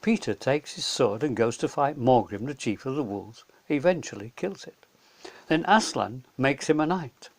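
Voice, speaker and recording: Animated male children's storyteller. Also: medium pitch, moderate pace. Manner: simple, clear retelling for children.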